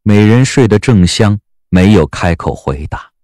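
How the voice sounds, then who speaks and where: A man narrating an audio novel as scripted dramatic storytelling, with a measured, expressive literary delivery. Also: lowish voice, dry recording, studio quality.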